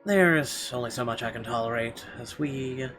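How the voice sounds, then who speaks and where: A man's voice: medium pitch, moderate pace, a bit bright. Guy doing a lets play, reads story text out, blunt slangy comments, game music behind him. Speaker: let's player reading and reacting to a visual novel. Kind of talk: casual gameplay commentary mixed with reading narration aloud.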